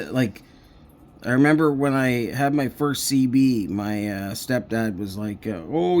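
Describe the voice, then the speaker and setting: A middle-aged man chats off the cuff at a slow pace, with an average-pitched voice. He is halting and rambling, thinking out loud, with faint noise behind him at home. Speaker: hobbyist electronics recycler and video host. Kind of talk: casual unscripted vlog talk. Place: indoors at a home coffee table.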